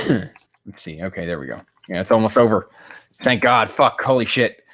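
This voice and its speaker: A man's voice: fairly low pitch, expressive, moderate pace, fairly bright tone. A man hosting a sarcastic reaction reading of fan fiction, with a profane, mocking manner prone to exasperation. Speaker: host.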